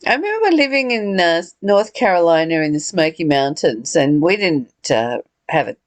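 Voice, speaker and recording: A woman's voice: fairly low pitch, big pitch swings, normal speed, dry recording. A woman leading a reflective spiritual discussion, earnest and given to rhetorical questions and repeated phrases. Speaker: study group leader.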